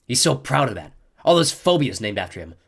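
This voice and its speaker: A man's voice: mid-range voice, sing-song, fairly quick, gravelly, slightly rough, full character voice. A man voicing a tough, angry teenage warrior girl who growls and snaps defensively. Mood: grumbling. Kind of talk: audiobook character voice.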